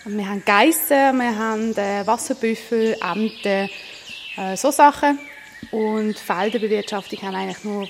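A woman's voice: mid-range voice, vocal fry, big-room sound, a little hiss or hum. A Swiss woman in her twenties, a farm manager, answering an interview with many hesitations. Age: 20s.

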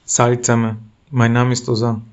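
A man from Turkey, reading a personal statement in a measured way. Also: medium pitch, completely flat delivery, clean tone, lo-fi sound. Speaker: community member.

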